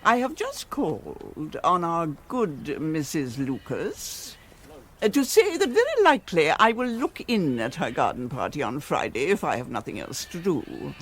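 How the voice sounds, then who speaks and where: A woman's voice: low voice, very animated, a little slow. An imperious older titled lady in a radio comedy, upper-class English and grandly condescending. Her delivery swoops extravagantly up and down, teasing and lordly, over faint background noise. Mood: haughty. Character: haughty, imperious aristocratic English widow, fondly condescending. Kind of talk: comic radio drama acting.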